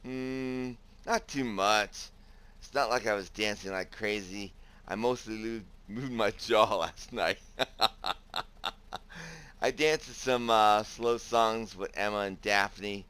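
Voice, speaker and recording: A man's voice: fairly low pitch, slow, pushed and bright, clean tone. A man playing an easygoing, bashful young protagonist in a visual novel game, talking casually and hesitantly. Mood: relaxed. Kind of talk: visual novel game voice acting with inner narration.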